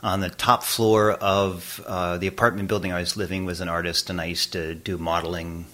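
Deep-voiced older man recalling his childhood. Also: level tone, moderate pace, bright, forceful tone. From Boston. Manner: reflective, with frequent fillers and trailing phrases. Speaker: interview guest, abstract painter. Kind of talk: relaxed conversational recollection.

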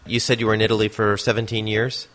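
A man's voice: lowish voice, flat delivery, fast, a bit bright, slight background noise. A man interviewing a guest over a video call, asking brief, plain follow-up questions.

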